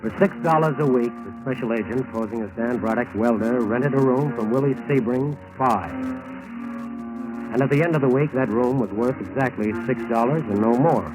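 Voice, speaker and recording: An American actor narrating a 1940s radio crime drama in an emphatic, deliberate, authoritative manner. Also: male voice, mid-range voice, lightly acted, rough recording. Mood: grave, factual. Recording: old 1940s radio, music behind.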